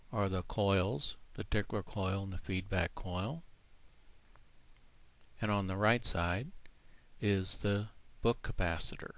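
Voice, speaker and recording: A man giving a casual, halting, plain-spoken demonstration as a hobbyist narrator. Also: low-pitched, fairly bright tone, no room sound. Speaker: vintage radio collector demonstrating.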